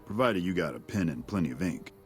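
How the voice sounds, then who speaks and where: An actor playing a weary, cynical soldier at a desert outpost in a video game, terse and dry. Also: male voice, sits low, animated, fairly quick. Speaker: army sergeant character. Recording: music behind the voice.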